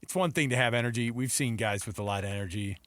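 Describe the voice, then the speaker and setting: A man's voice: mid-range voice, quick pace, fairly bright tone, no room sound. A middle-aged man hosting casual sports talk radio, telling stories in a jokey way and acting out mock quotes.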